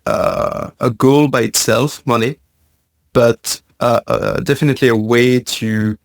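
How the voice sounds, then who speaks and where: A man from Belgium speaks as a podcast guest, thinking out loud and circling back over his words with many small pauses and fillers. His voice sits at an ordinary middle pitch. The recording is dry and close, with no room sound. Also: fairly even intonation, no echo. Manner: thoughtful, halting, frequent fillers and repeated words. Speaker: podcast guest, science communicator. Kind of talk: conversational interview answer.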